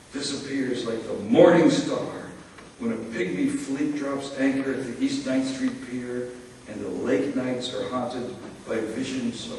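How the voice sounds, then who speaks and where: A man giving a theatrical spoken-word poetry reading in a church, with emphatic phrasing and dramatic pauses. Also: mid-range voice, darkish tone. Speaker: poet reading aloud at a poetry event. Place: large church interior, live reading. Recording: very echoey church hall, far-off mic, faint noise.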